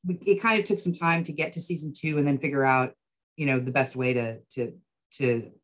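A middle-aged woman answering interview questions as a guest on a video call, thoughtful and measured, with pauses. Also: rumbling low, steady tone. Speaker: guest writer and producer. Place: indoor room with bookshelves, video call.

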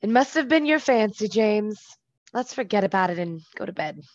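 A woman's voice: medium pitch, expressive, moderate pace, no room sound, muffled recording, lightly acted. A woman acting in a radio play as an affectionate, mildly scolding wife and mother. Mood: worried. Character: fond, fussing middle-aged wife and mother.

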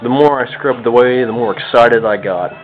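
A man's voice: medium pitch, normal speed, faint music behind. An American man giving a casual, unscripted hands-on walkthrough in a folksy, self-deprecating manner. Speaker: hobbyist radio restorer presenting own video. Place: home kitchen or dining room, indoors. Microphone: handheld camera's built-in microphone. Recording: tinny band-limited phone-like camera sound.

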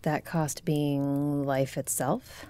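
A woman's voice: low voice, flat delivery, very slow, dark tone, smooth. A woman playing a composed, regal griffin princess in a fantasy audio roleplay, speaking in a formal, probing and grave way. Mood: sceptical.

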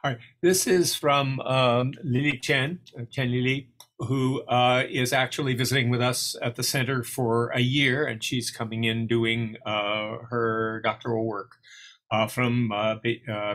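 A man at an ordinary pitch moderates a question-and-answer session. He reads audience questions aloud with plenty of hesitant fillers between his words. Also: fairly even intonation, a little slow, fairly bright tone.

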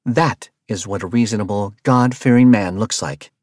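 A man voicing a hot-tempered, foul-mouthed woman mercenary in a read-aloud story, yelling in a raised pitch. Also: moderate pace, no echo, clean studio sound, natural voice. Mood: mocking. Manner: yelling insults, brash and loud.

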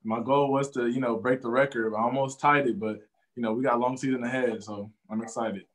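A man's voice: mid-range voice, fairly even intonation, a little fast. A young man, a college defensive back, answering press questions in an easygoing way, often saying "you know" and "man". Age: young adult. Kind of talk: post-game press conference answers.

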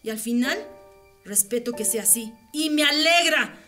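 A woman's voice: fairly high pitch, animated, normal speed, pushed and bright, soft background music. A woman acting a defiant, long-mistreated wife and mother in a radio soap, firm and emotional. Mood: bitter, resolute.

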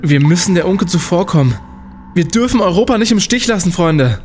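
A man's voice: sits high, lively intonation, a little fast, a little mellow, soft background music. An actor playing a bossy, undaunted young male student leading adventurers in an audio drama, with commanding bravado. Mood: determined.

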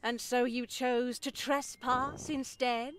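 Woman acting a slow, stern elderly nun. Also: on the high side, fairly even intonation, a little hiss or hum. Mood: disapproving. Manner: measured, formal, sanctimonious. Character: stern, pious elderly nun, coolly reproachful. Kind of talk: visual novel game voice acting.

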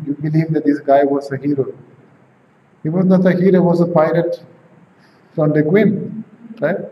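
A man in his forties from Venezuela, with a Venezuelan Spanish accent, giving an informal spiritual talk at home, often asking "right?" and "see?". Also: medium pitch. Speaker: spiritual teacher. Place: home room with tiled walls, indoors. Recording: roomy, dull camera sound, faint hiss.

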